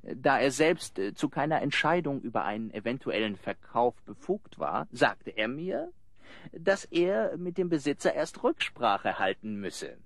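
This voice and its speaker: An animated, boastful suitor. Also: male voice, medium pitch, unhurried, creaky, no echo, heavily acted. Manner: grandiose, storytelling. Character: smooth-talking, boastful young suitor, a con man. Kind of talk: radio play acting.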